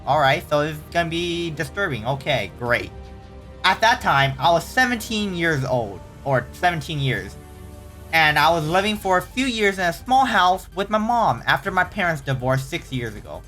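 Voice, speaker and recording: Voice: medium pitch, animated, moderate pace, fairly bright tone, faint music behind. A casual host reading online horror stories aloud, often stumbling and correcting the wording, with startled, exclaiming reactions in between.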